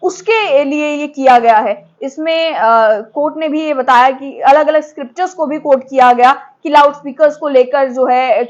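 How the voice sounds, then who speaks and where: A woman's voice: high-pitched, moderate pace, hardly any pauses, muffled recording. A woman presenting explanatory news commentary, mixing in English legal terms as she explains point by point.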